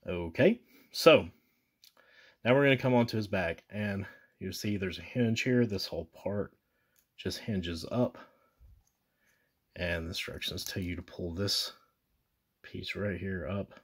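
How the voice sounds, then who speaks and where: Man with a very low voice, relaxed toy-review host walking through steps at a tabletop, off-camera mic. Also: a little slow, pauses often, breathy edge. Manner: casual, talks viewers through steps with fillers and self-corrections. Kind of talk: casual hands-on toy demonstration. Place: indoors at a table with a posterboard backdrop.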